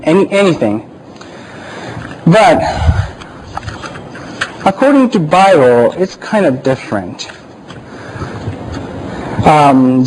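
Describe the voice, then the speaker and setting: A man with a Japanese accent speaks slowly and haltingly, hunting for the right English words. His voice sits a little high. Faint background noise runs under the recording. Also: a little mellow, rough recording. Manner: hesitant, searching for words. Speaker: church speaker. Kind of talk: informal church talk.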